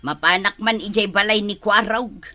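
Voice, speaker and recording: A woman's voice: medium pitch, normal speed, sharp tone, close to an everyday voice. A woman acting a cheeky freeloading neighbour in a comedy radio drama, giggly and drawling, with an Ilocano accent. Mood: breezy.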